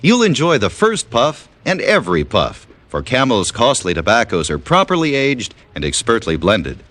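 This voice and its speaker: A man's voice: mid-range voice, wildly swooping pitch, moderate pace, a bit bright. A man reading scripted 1950s radio advertising as a polished, salesmanlike announcer.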